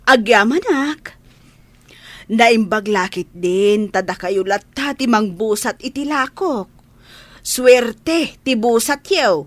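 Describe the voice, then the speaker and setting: A woman's voice: a little high, takes it slowly, no room sound. A woman playing a kindly, grateful older fish seller in an audio drama, with an Ilocano Filipino accent.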